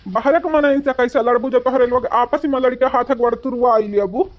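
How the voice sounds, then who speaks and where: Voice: mid-range voice, brisk, dull audio, lightly acted. A performer voicing a fretful older village father in a cartoon, pleading with his family in an Awadhi accent. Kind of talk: cartoon voice acting.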